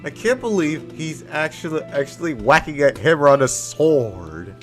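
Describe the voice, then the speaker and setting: A man's voice: on the high side, lively intonation, unhurried, faint music behind. A man giving casual, joking, offhand commentary while playing a video game. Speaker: gamer commenting on a playthrough.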